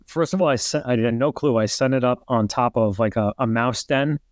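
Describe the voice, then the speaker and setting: A middle-aged man with a New York accent tells stories in a loose, rambling way, dropping "like" all through and slipping into quick impressions of what people said. His voice sits at an ordinary pitch. The sound is close and dry, with no room around it. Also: dry recording. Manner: rambling, heavy use of "like", acts out quotes. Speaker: retired fire captain, guest. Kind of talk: casual podcast storytelling.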